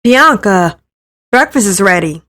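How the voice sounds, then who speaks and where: A woman's voice: medium pitch, expressive, a little slow, pushed and bright. A woman voicing an affectionate middle-aged father in a story, calling out heartily to his daughter.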